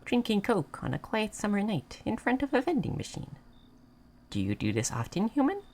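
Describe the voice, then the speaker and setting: A woman playing a gentle, naive moth person in a roleplay audio, speaking in halting, simple, formal phrases. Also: medium pitch, wildly swooping pitch. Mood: soft wonder. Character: gentle, naive, curious moth person learning human things. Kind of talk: scripted roleplay audio addressed to the listener.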